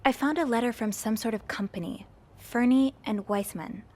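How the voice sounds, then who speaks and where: An actress playing a young, curious and polite hotel maid in a video game. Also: female voice, fairly high pitch, moderate pace, a little mellow, close to an everyday voice. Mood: intrigued.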